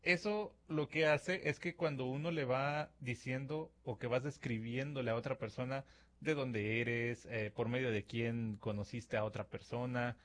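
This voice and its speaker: A man's voice: medium pitch, steady tone, a little slow, fairly bright tone. A man from Mexico with a Mexican accent, hosting a reflective radio-style talk at a studio mic and acting out imagined dialogues with fillers. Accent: Mexican Spanish. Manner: conversational, acts out imagined dialogues with filler words. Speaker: radio-style podcast host. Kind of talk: reflective monologue to listeners. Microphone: studio microphone.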